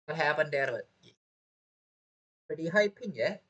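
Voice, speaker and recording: A man's voice: a little high, expressive, a little slow, a bit bright. A man streaming a game, giving brief, offhand reactions in casual live commentary. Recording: game music behind the voice.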